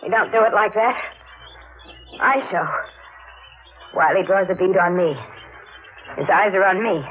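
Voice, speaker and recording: A woman's voice: mid-range voice, unhurried, faint music behind, close to an everyday voice. Woman acting a scheming, bossy radio femme fatale. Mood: urgent, scheming. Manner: bossy, urgent. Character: scheming, greedy, commanding femme fatale Maxine. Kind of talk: 1950s radio thriller acting. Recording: tinny muffled old radio broadcast.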